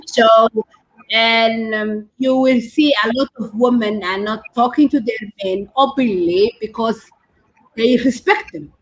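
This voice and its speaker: A woman in her thirties with a Somali accent, answering as an interview guest on a video call, haltingly repeating and rephrasing. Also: fairly high pitch, low-quality recording. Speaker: guest doctor and women's rights activist. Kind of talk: interview answers on a live stream. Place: curtained room indoors, on a video call. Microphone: video call device mic.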